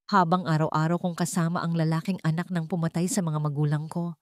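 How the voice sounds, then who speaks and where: A woman's voice: low voice, level tone, fast talker, no room sound, clean studio sound, close to an everyday voice. A woman voicing a betrayed young fiancée, wounded and resolute, speaking emotionally in an audio love drama. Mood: bitter anger. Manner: emotional, confrontational.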